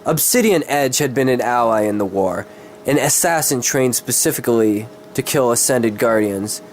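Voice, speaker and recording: A man reads a fantasy tale in a dramatic amateur style, at a middle pitch. He is sick and often stumbles, dropping into casual, sweary asides. Faint noise sits on the recording. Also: normal speed. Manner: stumbling, tongue-tied, sweary. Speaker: amateur reader of his own fantasy story.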